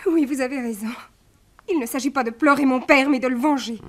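A woman's voice: sits high, brisk, lightly acted. An actress playing a young woman whose father has just been killed, in an emotional, breathless manner, in an old radio drama. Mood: grieving. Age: young adult.

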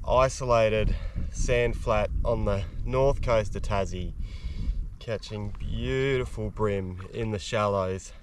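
A man in his thirties with an Australian accent, hosting a fishing show and chatting casually while wading a sand flat outdoors. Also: on the low side, a little hiss or hum. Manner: relaxed, enthusiastic, drawn-out praise. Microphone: camera-mounted mic, selfie and chest cam.

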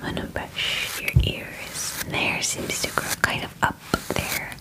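A woman in her twenties playing a caring werewolf-spa groomer in a gentle, soothing ASMR roleplay, close to the mic. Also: a little slow, lightly acted, low-quality recording. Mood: soothing, attentive. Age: 20s. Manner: gentle, soothing, softly reassuring. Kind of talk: whispered ASMR personal-attention roleplay. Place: small dim indoor room. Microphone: close mic, face near the camera.

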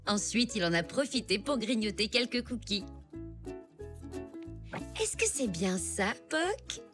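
A kindly, playful grown-up zebra in a children's cartoon. Her high voice teases gently, with clear music behind it. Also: very animated, takes it slowly. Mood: playful, reassuring. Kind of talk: preschool cartoon acting. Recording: music under the voice.

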